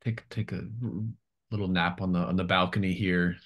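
A man voicing a kindly old woman in a tabletop game, gentle, polite, grateful and a little hesitant. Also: deep voice, steady tone, moderate pace, lo-fi sound. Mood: grateful, warm.

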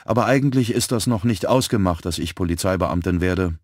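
A man voicing a brisk, frank young woman who works as a police typist. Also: low-pitched, a little mellow, no echo, studio quality. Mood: lively.

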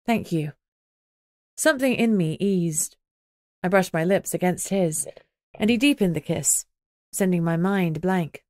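A woman's voice: on the low side, unhurried, a little mellow, dry recording, clean studio sound. A woman reading scripted first-person audiobook narration.

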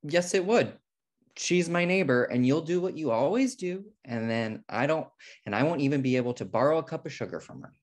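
A man's voice: on the high side, animated, a little fast, natural voice. A man playing an uptight, exasperated twin brother, indignant and earnest, in a sitcom table read on a video call. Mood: annoyed. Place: indoor room at home on video call.

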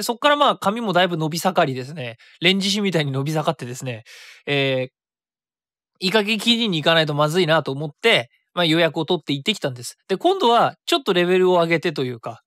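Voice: mid-range voice, fairly bright tone, no echo, clean studio sound. A radio host chatting casually alone, mixing polite speech with colloquial asides. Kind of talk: casual solo radio talk.

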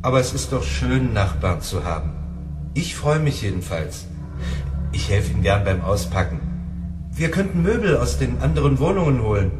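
Actor as a lonely, earnest neighbour, echoey room. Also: male voice, medium pitch, big pitch swings, moderate pace, lightly acted. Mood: shy, earnest. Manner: hesitant, earnest. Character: lonely, eager, shy simple-minded male neighbour. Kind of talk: radio drama acting. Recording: echoey room with music behind.

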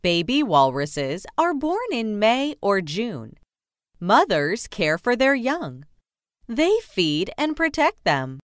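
A woman narrating a children's nonfiction book as a scripted read-aloud, with careful, clearly separated phrasing. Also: medium pitch, sing-song, slow, dry recording, clean studio sound.

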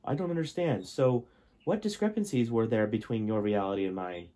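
A man's voice: on the low side, brisk, mellow, close to an everyday voice. A young man reading aloud as a confused father who questions his son in a storybook. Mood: puzzled. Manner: puzzled, questioning. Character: confused father, time-travelling investigator. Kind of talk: amateur audiobook-style reading.

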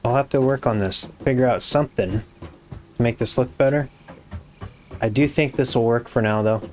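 A hoarse young man with a raspy voice, casually commenting on his game as he builds, muttering to himself and swearing when things go wrong. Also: mid-range voice, moderate pace, a little hiss or hum. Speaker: gaming YouTuber. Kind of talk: casual let's-play commentary.

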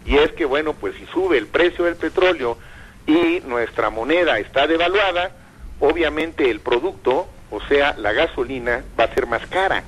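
An older man giving thoughtful commentary in a radio interview, pausing often and repeating words as he thinks. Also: fairly high pitch, expressive, fairly bright tone, breathy edge, poor audio.

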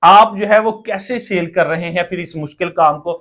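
A man's voice: high voice, moderate pace, a bit bright. A man in his thirties with a Pakistani accent, asking questions as the host of an on-location interview. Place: small brick-walled workshop room indoors. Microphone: lapel.

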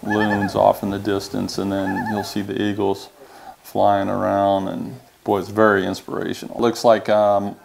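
A man's voice: fairly low pitch, monotone, a little slow, vocal fry, darkish tone, slight background noise. A man telling enthusiastically about catching fish on a trip. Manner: enthusiastic storytelling. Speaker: fishing trip guest. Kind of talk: casual interview. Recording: background music. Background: music.

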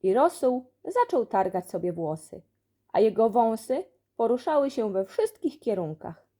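A woman narrating a children's audiobook expressively, telling the story in an animated way. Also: on the high side, unhurried. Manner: animated storytelling, acts out dialogue. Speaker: audiobook reader.

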